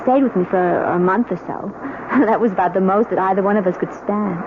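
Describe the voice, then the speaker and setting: An actress playing a giddy, eager young newlywed wife in an old-time radio drama, with a gushing manner. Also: female voice, mid-range voice, fairly quick, lightly acted. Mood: fond, amused. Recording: tinny, noisy old radio broadcast.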